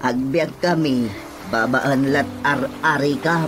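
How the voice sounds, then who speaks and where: Slow-talking humble blind man played by an actor. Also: fairly high pitch. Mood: resigned, good-natured. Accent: Ilocano. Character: humble blind man getting by, good-natured. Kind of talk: radio drama acting. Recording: music loud behind the voice.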